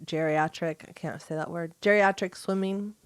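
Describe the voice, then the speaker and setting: A woman's voice: fairly low pitch, fairly even intonation, moderate pace, clear, resonant tone, no echo. A middle-aged American woman co-hosting a podcast, chatting in an informal, rambling way. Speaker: podcast co-host. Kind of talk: casual chat.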